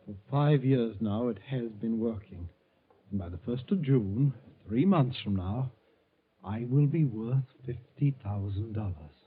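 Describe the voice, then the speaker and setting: An actor playing a meek, middle-aged bank teller with secret schemes, earnest and hesitant in a 1940s radio style. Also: male voice, medium pitch, lively intonation, a little slow, soft background music, lightly acted. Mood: smug, scheming. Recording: thin, tinny 1940s radio recording.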